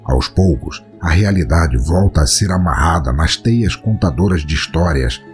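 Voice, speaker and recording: A low-voiced Brazilian man delivers scripted audio-drama narration with a very animated, swooping pitch. His tone is dark and mellow, and his manner is theatrical and ornate. Faint music plays behind him. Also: moderate pace. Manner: theatrical, florid wordplay. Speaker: podcast host and storyteller.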